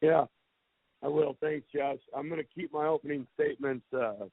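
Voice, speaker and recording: A hoarse man in his forties from the American Midwest, a football head coach giving a plain-spoken opening statement on a press call. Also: a little high, steady tone, normal speed, no echo. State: hoarse from a cold. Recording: phone line.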